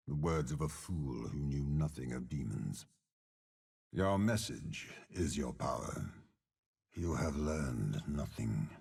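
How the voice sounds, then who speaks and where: An actor playing a commanding, cunning older general in a video game, with a raspy voice and a measured, imperious delivery. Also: male voice, a little mellow, full character voice. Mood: scornful. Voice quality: deep gravelly rasp. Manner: slow, grandiose, measured, imperious. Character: commanding older male warlord general, cold, cunning, menacing. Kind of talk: video game character voice lines.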